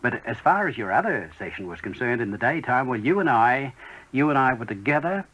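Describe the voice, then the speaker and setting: A genial radio man chats courteously on air in an old broadcast. His intonation is animated and his pitch middling. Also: a little fast, breathy edge, highs cut off. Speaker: radio guest presenter. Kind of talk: on-air chat.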